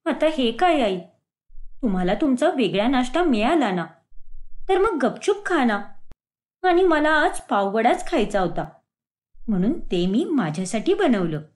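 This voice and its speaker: A stubborn young daughter-in-law in a cartoon story, played by a woman. She complains in a high, swooping voice, dramatic and aggrieved. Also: big pitch swings, a little slow, darkish tone. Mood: defiant. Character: whiny, stubborn young daughter-in-law who loves food. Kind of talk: animated moral story dialogue.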